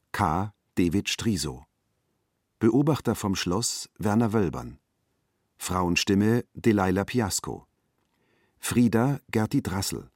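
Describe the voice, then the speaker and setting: A man reading scripted programme credits in a measured announcer's style. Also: deep voice, no echo, clean studio sound.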